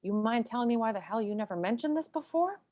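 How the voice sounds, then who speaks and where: A woman's voice: fairly high pitch, lively intonation, fast, muffled recording, lightly acted. A woman acting an exasperated, combative military defense lawyer in a stage play read-through, pressing hard with sarcastic questioning. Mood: frustrated.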